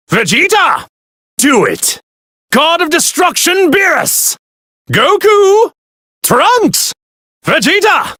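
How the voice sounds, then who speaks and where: Haughty villainous god, swooping delivery. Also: male voice, sits high, fairly bright tone, breathy edge, no room sound, studio quality, heavily acted. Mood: shouting in battle. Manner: arrogant, disdainful, grandly formal. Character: haughty villainous god in a stolen warrior body. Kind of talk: theatrical anime fighting game voice lines.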